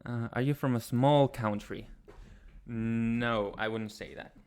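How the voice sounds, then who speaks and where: A young man from Chile with a Chilean Spanish accent, hosting a casual livestream and answering chat in a soft, hesitant way with many pauses. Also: mid-range voice, clean tone. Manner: soft-spoken, hesitant, many ums and restarts. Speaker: ASMR channel host. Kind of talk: casual livestream chat, reading viewer comments.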